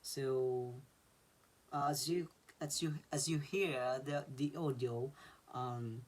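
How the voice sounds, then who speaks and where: Filipino man, 30s, vlogger: slow, easygoing advice with lots of restarts, recorded in a small bedroom. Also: medium pitch. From Philippines. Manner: relaxed, repeats words and fills with uh. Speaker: vlogger explaining an exam. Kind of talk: casual advice vlog.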